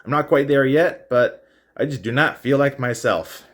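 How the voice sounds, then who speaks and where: A man's voice: mid-range voice, normal speed, fairly bright tone. Man vlogging his diet, casual. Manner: candid, self-reporting how he feels. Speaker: fitness vlogger. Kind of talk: casual diet vlog narration.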